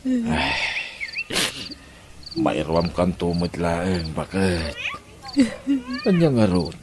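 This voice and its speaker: A man playing a gentle, affectionate father and husband in a sentimental audio drama, with a Filipino accent. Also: low voice, huge pitch swings, very slow, very noisy, exaggerated voice. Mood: tender.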